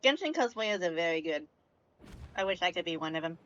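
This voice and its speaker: A woman's voice: on the low side, lively intonation, a little fast, fairly bright tone, highs cut off. A woman livestreaming a game, chatting casually in a musing, offhand way. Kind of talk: casual game stream commentary.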